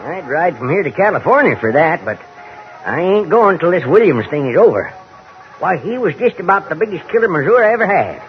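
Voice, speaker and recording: An actor playing a cheerful, chatty little rustic drifter with an American Western accent and folksy backwoods speech in an old-time radio drama. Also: male voice, high-pitched, very animated, fairly quick, soft background music. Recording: dull old radio recording.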